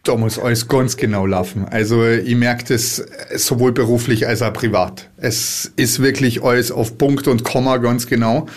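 A man's voice: medium pitch, fairly even intonation, normal speed, few pauses. A man from Carinthia with a Carinthian Austrian accent, a podcast guest telling chuckling anecdotes in casual chat.

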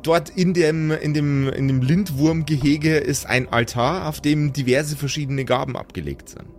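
A man's voice: a little high, steady tone, normal speed, faint music behind. A man working as game master, narrating an improvised tabletop role-playing game in descriptive detail, repeating words for emphasis.

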